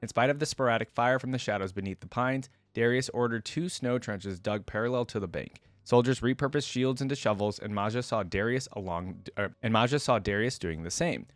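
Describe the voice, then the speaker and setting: A man's voice: medium pitch, fairly quick, hardly any pauses, dry recording. A man talking casually as a video creator, moving between chatty reaction commentary and reading a story aloud.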